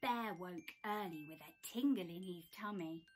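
A young woman reading a children's storybook aloud in a theatrical, dramatic, expressive style in a small room. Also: lowish voice, normal speed, a little hiss or hum. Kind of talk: expressive scripted storybook narration. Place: small decorated room at home.

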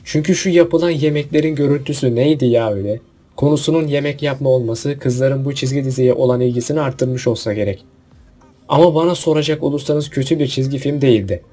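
Male commentator, husky and relaxed, opinionated and jokey, casual countdown-video delivery. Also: medium pitch, quick pace, soft background music. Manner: opinionated, jokey asides with rhetorical questions. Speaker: video host.

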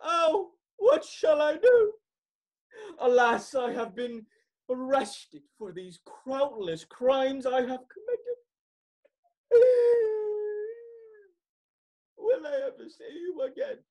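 A man's voice: lively intonation, slow, pauses often, a little mellow, heavily acted. A man voicing a melodramatic, lovelorn romance hero in a comedic stage monologue, overwrought and wailing. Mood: anguished. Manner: overwrought, wailing, high-pitched.

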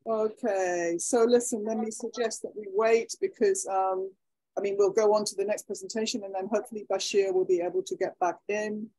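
Teacher lady on a video call, calm and organizing, bit husky, dry close sound. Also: medium pitch, a little fast, no room sound, rough recording. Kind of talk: online class moderation.

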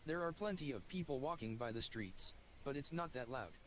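A computer voice of a man reading a first-person story aloud in an even, mechanical way. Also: mid-range voice, brisk, a little hiss or hum, low-quality recording. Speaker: text-to-speech reader.

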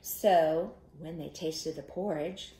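A middle-aged woman telling an interactive story to young children, expressive and instructive, inviting them to join in, recorded in a living room. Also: low voice, slow, reverberant, rough recording. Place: small living room, indoors. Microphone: camera a short distance away.

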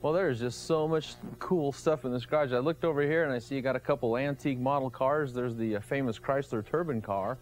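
A middle-aged man hosting a TV show, chatting casually and asking quick, upbeat questions while walking through a large cluttered garage workshop. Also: mid-range voice. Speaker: TV show host. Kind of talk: casual walk-through interview.

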